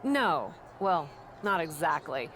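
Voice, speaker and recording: A woman's voice: low-pitched, sing-song, slow pace, fairly bright tone, slight background noise, exaggerated voice. A woman playing a confident, playful, daring pro wrestler in conversational video game dialogue, with light ambience behind. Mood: thoughtful.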